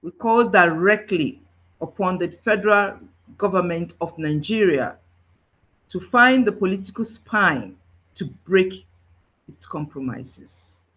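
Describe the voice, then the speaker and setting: A man delivering a deliberate, forceful public statement. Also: sits high, animated, husky, rough recording.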